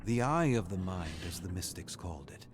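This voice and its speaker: A man performing a brooding, ominous narrator of horror lore, reading gravely and deliberately in a dramatic style. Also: deep voice, expressive, slight background noise.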